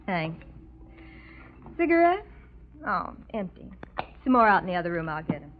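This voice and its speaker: An actress playing an alluring, sympathetic love interest in a 1940s radio detective drama, with an American accent. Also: female voice, lowish voice, sing-song, normal speed, background noise. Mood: inviting, sultry. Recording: tinny, band-limited old radio recording.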